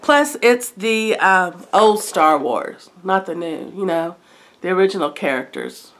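A middle-aged woman with a stuffy head cold chatting through a shopping haul in her living room, unhurried and full of asides. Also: lowish voice, lively intonation, a bit bright. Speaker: haul vlogger. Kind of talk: casual show-and-tell chat. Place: living room by a brick fireplace, indoors.

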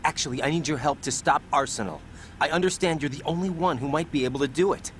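An actor playing an earnest young soldier in a video game, speaking in an urgent yet reassuring manner. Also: male voice, on the high side, quick pace, noisy background, lightly acted. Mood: insistent. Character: earnest young male soldier on a rescue mission. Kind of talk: dramatic game cutscene acting.

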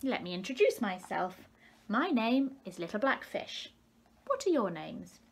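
A woman's voice: medium pitch, very animated, a little slow, creaky, slightly rough, exaggerated voice. A woman reading a storybook as a plucky, outspoken, indignant young male little fish. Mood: friendly, hurt.

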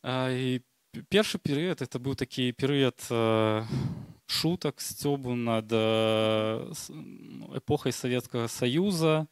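A man's voice: medium pitch, unhurried, clean tone, dry recording, studio quality. A man from Belarus presenting his artwork at a roundtable, hesitant and full of fillers. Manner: hesitant, many fillers, quiet. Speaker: panelist artist. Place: gallery room with audience.

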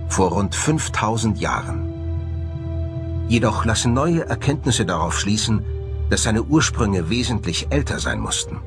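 Guy doing documentary voiceover, animated melody, music playing behind him. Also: fairly low pitch, measured pace. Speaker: documentary narrator. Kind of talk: scripted documentary voice-over narration. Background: background music.

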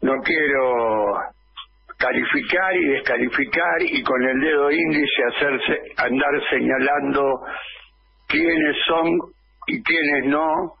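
A man in his sixties with an Argentine accent, delivering an emphatic political editorial in a small radio studio with headphones and a boom mic. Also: gravelly, slight background noise. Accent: Argentine Spanish. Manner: slow, emphatic, insistent, with frequent "eh" fillers. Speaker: radio commentator. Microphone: studio mic on boom arm, wearing headphones. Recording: dull lo-fi radio sound.

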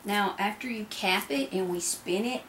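A woman's voice: mid-range voice, moderate pace, a bit bright, roomy sound, slight background noise. A woman giving casual, hands-on instruction as a beekeeper while she works indoors. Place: indoor kitchen.

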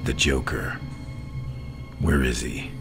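A man acting out a stern, brooding masked vigilante in a soft-spoken ASMR roleplay, grave, terse and hushed. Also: extremely low pitch, takes it slowly, music in the background. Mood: grave, urgent.

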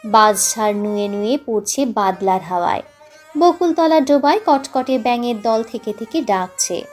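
A woman's voice: on the high side, moderate pace. A woman narrating a literary story expressively, audiobook style, with music underneath.

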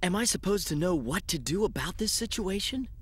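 Gentle high-voiced young cadet. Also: male voice, animated, a little fast, slightly rough, exaggerated voice. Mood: pensive. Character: thoughtful, gentle young male space cadet. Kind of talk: animated film dub acting.

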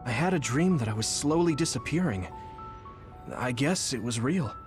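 A young man playing an earnest, gentle young soldier hero in an anime game. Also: medium pitch, animated, normal speed, lightly acted. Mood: puzzled, subdued. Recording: dramatic music behind voice.

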